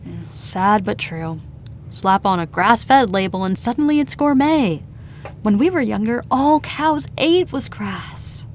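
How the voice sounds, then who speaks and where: A woman's voice: medium pitch, animated, unhurried, a little hiss or hum. An actress playing a blunt, wry young woman in a naturalistic audio drama, with casual, sweary delivery. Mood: salty, sarcastic.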